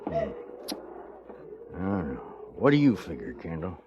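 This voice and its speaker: An actor playing a plain-spoken, chatty frontier sidekick with an American accent in an old western radio drama, folksy, wry and blunt. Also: male voice, low voice, sing-song, slow, heavily acted. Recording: muffled, noisy old radio recording.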